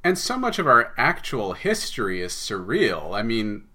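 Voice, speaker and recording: A man's voice: mid-range voice, huge pitch swings, moderate pace, sharp tone. A man speaking as an interview guest, explaining and telling stories in a conversational way. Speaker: podcast guest, audio drama writer.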